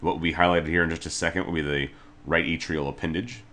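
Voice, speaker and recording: A man's voice: rumbling low, steady tone, fast talker, a bit bright. A man giving a casual tutorial as an instructor, talking informally and a little hesitantly, step by step. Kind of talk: casual video tutorial narration.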